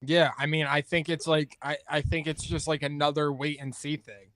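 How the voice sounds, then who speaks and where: Male sports podcaster, smooth tone, dry close sound, casual and conversational, reasons things out as he goes. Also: fairly high pitch, level tone, moderate pace, fairly bright tone, dry recording. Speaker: sports talk show host. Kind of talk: casual sports commentary chat.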